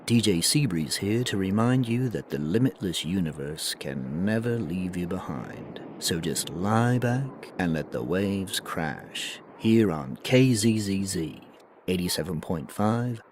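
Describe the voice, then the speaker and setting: A man's voice: low voice, animated, unhurried. A man playing a languid, soothing, trippy late-night DJ on an ambient FM radio station. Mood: dreamy, soothing. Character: mellow late-night ambient radio DJ, dreamy surfer philosopher. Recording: clearly noisy background ambience.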